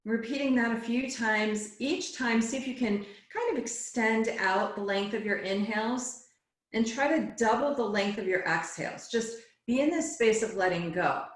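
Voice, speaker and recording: A woman yoga teacher guiding a relaxation and meditation class in a soothing, unhurried way, phrase by phrase. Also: on the high side. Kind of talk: guided relaxation and meditation instruction. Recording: echoey, roomy sound.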